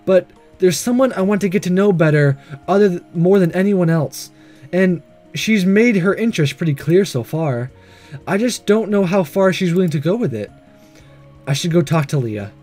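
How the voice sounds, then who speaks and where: A man voicing an earnest, nervous, lovestruck male high school student in a visual novel, speaking hesitantly. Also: expressive, normal speed, a little mellow, soft background music. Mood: earnest, pondering. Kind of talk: reading visual novel dialogue aloud.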